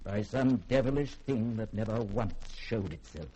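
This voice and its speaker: An actor playing a learned, secretive middle-aged scientist in a 1950s radio drama, speaking in formal, polished, theatrical sentences with a husky edge. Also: male voice, fairly low pitch, animated, moderate pace, warm tone, a little hiss or hum, lightly acted. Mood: grave, ominous.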